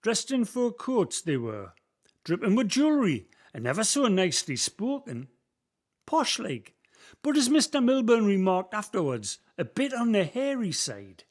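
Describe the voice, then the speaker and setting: A man's voice: sits high, wildly swooping pitch, moderate pace, exaggerated voice. An actor with a Northumbrian accent playing an old local man who guides a visitor through the valley's history in a community radio play, telling stories in broad dialect. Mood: storytelling. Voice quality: folksy storyteller, broad dialect words. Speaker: guide character Billy.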